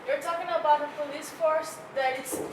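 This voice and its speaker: A woman's voice: high-pitched, fairly even intonation, normal speed, noisy background. A woman in the audience asking a skeptical, incredulous question. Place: lecture hall. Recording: echoey hall from far off.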